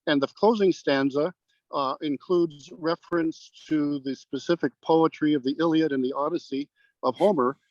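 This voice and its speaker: An older man, a guest poet explaining in a halting, deliberate way over a remote video call from outdoors. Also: a little high, no echo. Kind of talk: explanatory interview talk. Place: outdoors on grass in a parking lot. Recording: dull, lo-fi call audio.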